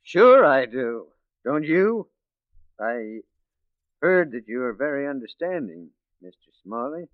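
An actor playing a bitter, self-possessed ex-convict forger with a clipped, worldly manner in a 1940s radio drama. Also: male voice, medium pitch, very animated, takes it slowly, no echo. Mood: bitter, defiant. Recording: muffled 1940s radio recording.

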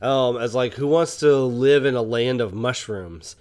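A man with a medium-pitched, everyday voice talks as a podcast co-host. He chats casually about films, laying out his points in a conversational, explanatory manner. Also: measured pace, darkish tone, clean tone. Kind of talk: casual movie discussion chat.

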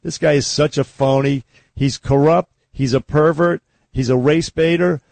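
A man's voice: a little high, steady tone, normal speed, creaky, a little mellow, no room sound. A man hosting a political talk radio show, blunt and combative in his opinions.

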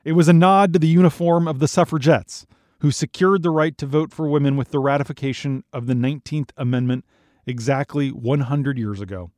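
A man's voice: a little high, a little fast, a little mellow, dry recording, studio quality. An American man hosting a news podcast, reading a scripted political narration.

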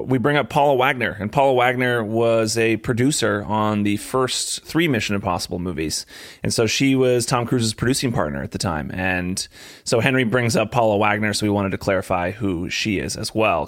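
A man's voice: fairly low pitch, dry recording, clean studio sound. A man co-hosting a podcast, talking casually with an upbeat, enthusiastic manner. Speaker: podcast co-host.

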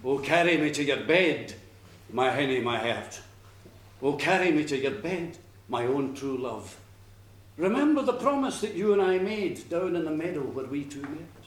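A man voicing a small, insistent storybook frog who woos in slow, pleading, repetitive song. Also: fairly high pitch, expressive, natural voice. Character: wee pleading frog, insistent wooer singing in rhyme. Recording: echoey room, faint background noise.

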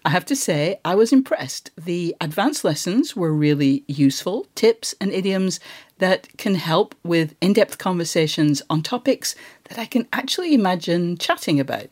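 A woman's voice: on the low side, animated, moderate pace, a little mellow. A woman speaking as a podcast host, in a conversational interviewing style.

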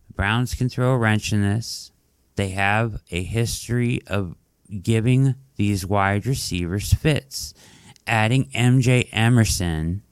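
Sports podcast host, male, lowish voice, a bit hoarse from being under the weather, loose and chatty, dry close-mic sound. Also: no echo. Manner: conversational, fillers like "like" and "right?". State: losing his voice, dry throat. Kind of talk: casual sports analysis podcast.

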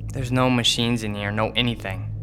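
An actor playing an anxious, halting young man trapped in an apartment in an audio horror drama. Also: medium pitch, steady tone, over music, natural voice. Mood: uneasy. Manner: halting, muttering, breaking off sentences.

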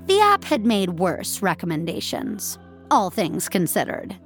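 A woman's voice: mid-range voice, wildly swooping pitch, unhurried, soft background music. A woman narrator reading scripted audio fiction as a storyteller.

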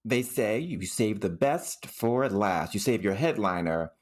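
A man's voice: fairly low pitch, expressive, unhurried, very noisy. A man co-hosting a playful interview chat, effusive and fond of emphatic repetition. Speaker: podcast co-host.

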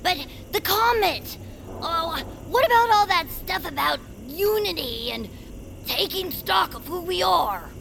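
A tiny, excitable bird-creature kid in a cartoon audio drama. The voice is extremely high and swings up and down, with a creaky edge. It pleads and urges eagerly over faint background noise. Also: female voice, unhurried, full character voice. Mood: dismayed, pleading. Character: eager, pleading young peepkin child, small bird creature.

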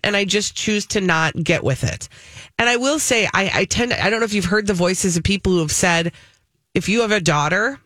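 An American woman co-hosts a radio talk show in a lowish voice. She addresses listeners head-on, conversationally, and repeats phrases for emphasis. The sound is close and dry, like a studio. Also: fairly bright tone, no echo. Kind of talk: casual radio chat.

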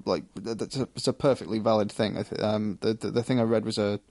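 Halting man, dry close sound. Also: fairly low pitch, fairly even intonation, mellow, dry recording. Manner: halting, stammering over words. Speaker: co-host of a chat show. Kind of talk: casual discussion.

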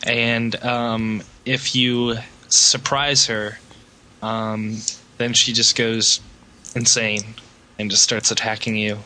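A man in casual podcast chat, speaking in a hesitant, halting manner. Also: fairly low pitch, flat delivery, pushed and bright, poor audio. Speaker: podcast participant. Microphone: Skype call.

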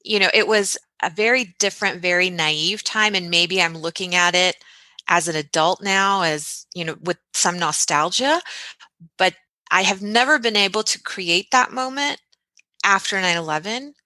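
A woman in her forties with a bright, forceful voice recounts childhood memories with lively, reflective energy. Her sound is close and dry, with no room around it. She speaks in the easy, open way of a conversational interview guest. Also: fairly low pitch, normal speed, no room sound. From United States (grew up in Texas). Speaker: podcast host, guest. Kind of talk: casual interview storytelling.

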